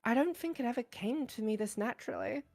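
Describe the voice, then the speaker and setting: A woman voicing a shy, bookish, insecure teenage girl in a visual novel, hesitant, soft-spoken and earnest. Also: on the high side, expressive, fast, faint music behind. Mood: touched, admiring. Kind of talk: visual novel character voice acting.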